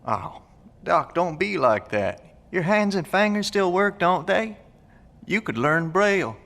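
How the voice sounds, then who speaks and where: Man acting as slow, bright-voiced folksy country companion. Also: high-pitched, very animated, creaky, long reverb tail, full character voice. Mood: teasing. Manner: folksy, informal. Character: plainspoken country companion, male, curious, good-natured, practical. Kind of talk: audio drama acting.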